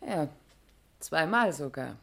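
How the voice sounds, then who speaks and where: A woman's voice: sits low, lively intonation, slow, vocal fry, fairly bright tone, exaggerated voice. A worn-out female pharmacy assistant in a vintage German radio play. She answers questions plainly and factually, with some weariness. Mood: tired, factual. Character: weary, matter-of-fact female pharmacy assistant being questioned.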